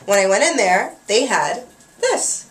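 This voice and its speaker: A young woman rambles casually to her webcam, full of "like" and "um." She is a little nasal from a cold, and her mid-pitched voice bounces around a small, echoey bathroom. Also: very animated, measured pace. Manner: chatty, rambling, lots of fillers. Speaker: hair care vlogger. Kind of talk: casual chat to camera.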